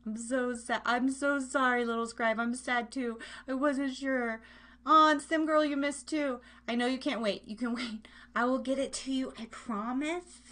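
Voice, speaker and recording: A woman with a fairly high voice hosts a casual live radio show. She speaks playfully and loosely, wandering off and interrupting herself. She addresses her listeners directly, as if chatting with them. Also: steady tone, moderate pace, a bit bright. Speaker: live radio and podcast host.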